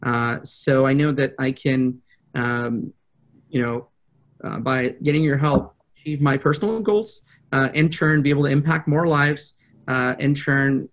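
A man in his thirties speaking as a client on a video call from an office, in a deliberate but blunt, assertive way. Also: mid-range voice. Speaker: prospective coaching client, public speaker. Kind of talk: conversational sales video call. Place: small indoor office. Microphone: video call, computer microphone.

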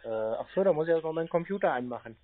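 A man's voice: fairly high pitch, lively intonation, very fast. A man chatting casually on a call, rambling and stalling in a nonchalant way. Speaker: scambaiter taking a scam call. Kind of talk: casual, stalling phone chat. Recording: muffled narrow-band audio with noticeable noise.

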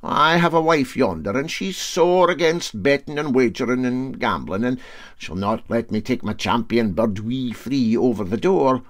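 A man's voice: medium pitch, animated, normal speed, close to an everyday voice. A man voicing a crafty, anxious Scottish sportsman in a storybook, hesitant and wheedling. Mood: evasive.

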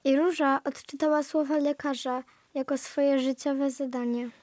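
A woman delivers scripted radio play lines. Her voice is high and soft-toned, and the intonation stays quite flat. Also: normal speed, no room sound.

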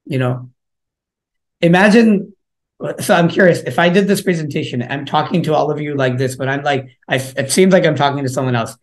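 A man's voice: on the high side, fairly quick. A man presenting a parenting workshop over a video call, talking casually with the audience, asking questions and often repeating words as he thinks. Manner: conversational, engaging the audience with questions; frequent fillers and repeated words. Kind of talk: interactive parenting workshop talk.

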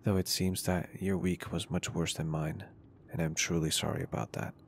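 A man's voice: sits low, little pitch movement, moderate pace, gravelly, a little hiss or hum. A man playing a caring, flirty and confident business owner in an intimate ASMR roleplay, speaking in a reassuring, polite and earnest way. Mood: tender, earnest.

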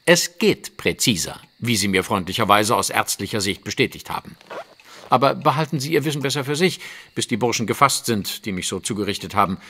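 A man's voice: on the low side, animated, fairly quick, bright, forceful tone, husky, full character voice. A man playing a brilliant Victorian detective in an audio drama, speaking in a dry, precise and superior way. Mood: smug, precise. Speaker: lead character in an audio drama. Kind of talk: German audio drama acting.

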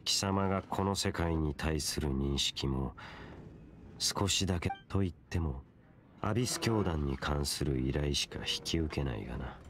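Casting: a cold, solemn young wanderer for a fantasy game, bottom-register voice, unhurried and formal. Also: male voice, extremely low pitch, noisy background. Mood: grave, measured. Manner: measured, stern, detached. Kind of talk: Japanese game voice acting.

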